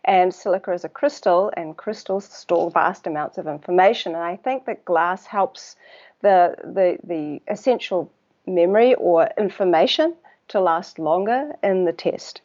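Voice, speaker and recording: A woman's voice: on the low side, darkish tone. Woman with a New Zealand accent, lo-fi. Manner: thoughtful, unhurried, searching for words with fillers. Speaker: guest, water researcher. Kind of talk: casual interview talk.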